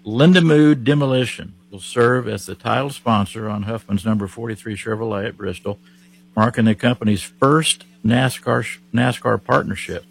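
A man from Oklahoma talks racing news in a folksy, unhurried way. His voice sits low with a gravelly edge and a husky grain. Frequent fillers keep it casual. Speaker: racing show host. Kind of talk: casual motorsport chat.

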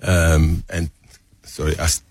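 An older man with a Greek accent speaks haltingly, restarting words and joking at his own expense. His voice sits very low with a husky grain. The sound is dry and close. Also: flat delivery, darkish tone, no room sound. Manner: halting, restarts, self-deprecating. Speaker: restaurateur guest. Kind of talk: casual interview.

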